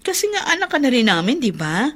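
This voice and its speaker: A woman with a Filipino accent playing a caring, gentle adoptive mother in a radio drama. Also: high voice, big pitch swings, quick pace, heavily acted.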